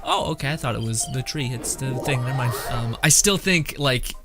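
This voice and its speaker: A man streams a video game in a mid-range voice. He rambles casually, thinking aloud, with music clearly audible behind him. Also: medium pitch, lively intonation, normal speed.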